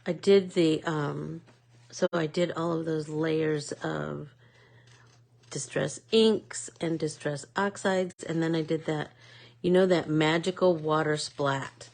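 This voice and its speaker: A woman's voice: low voice, fairly even intonation, takes it slowly, vocal fry, a little mellow. A woman with a Midwest accent hosting a live art class, chatting casually and rambling with lots of fillers. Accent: American Midwest. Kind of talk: casual chat while painting.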